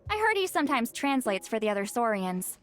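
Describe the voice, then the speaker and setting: A woman playing a composed, thoughtful young investigator, speaking in a measured, analytical way in scripted game dialogue. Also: fairly high pitch, sing-song, fairly bright tone. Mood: decisive.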